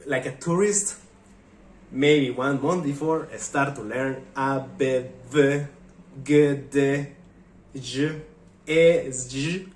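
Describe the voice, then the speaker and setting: Eager Mexican-accented man in echoey room. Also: mid-range voice. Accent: Mexican Spanish. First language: Spanish. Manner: eager, chatty, halting non-native phrasing. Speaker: vlogger. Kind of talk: casual talk to camera.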